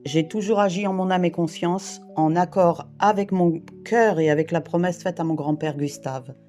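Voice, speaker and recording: A woman in her fifties from the Paris region, reading a personal testimony in a measured, formal way. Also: lowish voice, warm tone. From France, Île-de-France. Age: 50s. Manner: measured, formal, list-like delivery. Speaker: brief therapist and volunteer rescuer. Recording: guitar music behind.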